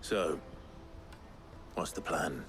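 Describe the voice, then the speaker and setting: Deep-voiced, serious young swordsman. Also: extremely slow, music in the background, full character voice. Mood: grave. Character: gruff, terse young man, wandering swordsman hero. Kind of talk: video game voice acting.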